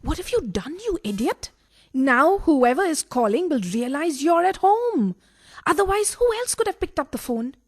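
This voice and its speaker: An actress playing a coquettish, sharp-tongued, excitable mistress in a farcical radio play. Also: female voice, sits high, moderate pace. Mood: sarcastic, scolding. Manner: dramatic, teasing and scolding.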